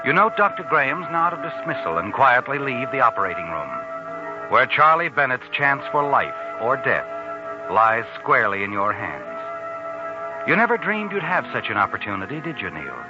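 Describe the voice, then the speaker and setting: A sinister, all-knowing storyteller played by a man narrates 1940s radio suspense in an insinuating, taunting way. His pitch swings dramatically. Music plays behind him on a muffled old recording. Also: sing-song, normal speed, close to an everyday voice. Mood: taunting, ominous.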